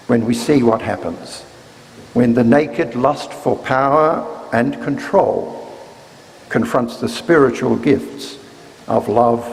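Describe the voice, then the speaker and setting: A man giving a solemn scripted church introduction with measured, deliberate phrasing. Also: medium pitch, darkish tone. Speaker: church reader introducing a service. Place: large church, live reading. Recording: reverberant church hall, faint noise.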